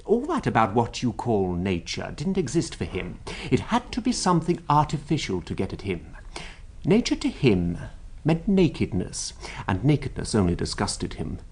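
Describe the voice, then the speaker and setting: A man's voice: fairly low pitch, huge pitch swings, normal speed, darkish tone. A man acting in a radio drama with a British accent, playing a talkative, wryly amused royal secretary with an expansive, emphatic, rhetorical manner. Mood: wry, reflective.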